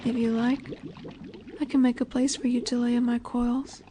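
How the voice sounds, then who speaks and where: A woman voicing a nurturing, gently commanding lamia in an intimate fantasy roleplay audio, soft and affectionate. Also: fairly high pitch, flat delivery, moderate pace, slight background noise, close to an everyday voice. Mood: affectionate, reassuring. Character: dominant, nurturing female lamia, a serpent monster girl. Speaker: voice actress.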